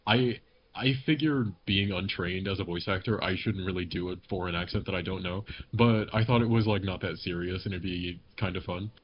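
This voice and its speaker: A man's voice: low-pitched, a little fast. A man chatting casually on a group podcast in a joking, rambling way. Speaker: podcast panelist, amateur voice actor. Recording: dull lo-fi mic with background noise.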